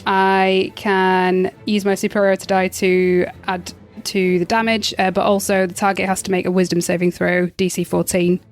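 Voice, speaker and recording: A woman's voice: medium pitch, little pitch movement, moderate pace. A woman talking casually as a player in a tabletop role-playing game, stating her moves and rolls. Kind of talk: casual game play talk. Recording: background music under the voice.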